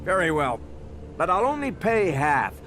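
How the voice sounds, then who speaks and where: A man's voice: sits high, sing-song, measured pace, a bit bright, slight background noise, full character voice. A man playing an officious, grudging city guard in a video game, with a pompous, theatrical delivery. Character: officious, grudging, self-important male city gate guard. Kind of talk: video game dialogue acting.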